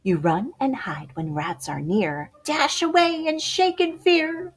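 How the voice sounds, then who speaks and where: A woman reading rhyming picture book poems aloud to children in a theatrical way, moving between hushed whispers and loud exclamations. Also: high-pitched, takes it slowly. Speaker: children's storybook reader.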